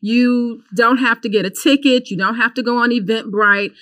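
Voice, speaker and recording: A woman's voice: a little high, fairly even intonation, normal speed, a bit bright. A woman hosting a church podcast, interviewing a guest, often affirming him, and announcing an event. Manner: affirming, frequent short interjections. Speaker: podcast host.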